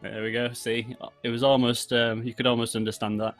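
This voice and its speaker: British male podcast host, mid-pitched voice, rambling and jokey in loose, casual conversation. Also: little pitch movement, a little fast, faint music behind. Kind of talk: casual chat.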